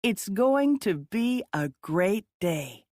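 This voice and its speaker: A woman's voice: medium pitch, big pitch swings, slow pace, darkish tone, dry recording, clean studio sound. A woman reading the lines of an eager, earnest six-year-old girl in a children's storybook. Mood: excited. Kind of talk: storybook character dialogue.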